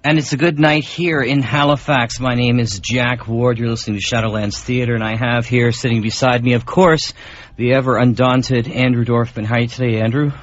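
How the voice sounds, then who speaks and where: A man's voice: medium pitch, steady tone, normal speed. A man hosting a radio show in a casual, chatty style. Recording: dull radio recording, loud noise.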